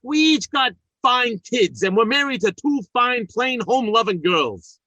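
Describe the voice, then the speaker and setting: A man's voice: very high-pitched, lively intonation, a little slow, sharp tone, dry recording, muffled recording, heavily acted. An actor playing a good-hearted, blustery working-class father in an old-time radio comedy, folksy and ungrammatical, with sentimental turns. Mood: jovial, sentimental.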